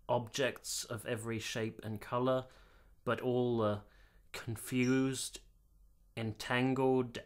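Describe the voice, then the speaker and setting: A man playing a gentle, sympathetic, reserved gentleman in a period radio drama, measured, hesitant and formal. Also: medium pitch.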